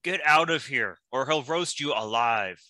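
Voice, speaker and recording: A man playing a gruff, guarded, fiercely protective adventurer, blunt and intense, in an animated fantasy table read. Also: mid-range voice, a little slow, no room sound. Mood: urgent warning.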